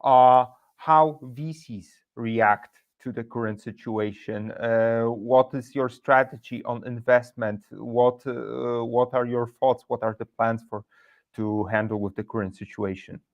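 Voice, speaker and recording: A man, hosting an online interview formally and pausing often with "uh". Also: medium pitch, fairly even intonation, lo-fi sound. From Poland. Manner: formal, hesitant with "uh" fillers. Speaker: webinar host.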